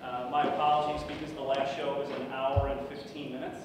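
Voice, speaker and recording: Loud chatty man speaking in an echoey hall. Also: medium pitch, little pitch movement, brisk. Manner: loud, chatty, with many fillers. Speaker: conference speaker. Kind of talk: casual conference talk. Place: large conference room with projector. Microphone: podium microphone from far off. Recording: echoey room, distant mic, noisy.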